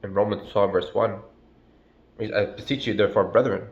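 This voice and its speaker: A man's voice: lowish voice, steady tone, moderate pace, reverberant, highs cut off. Stuffy-nosed man preaching in slangy bursts. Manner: slangy and profane, drawls "bro" and "man". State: stuffy, congested from a cold. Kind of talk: casual street-style sermon.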